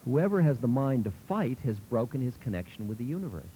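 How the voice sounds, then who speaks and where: A man's voice: medium pitch, sing-song, quick pace, warm tone, slight background noise, natural voice, rough recording. A man voicing an earnest male martial arts teacher speaking in an instructive way.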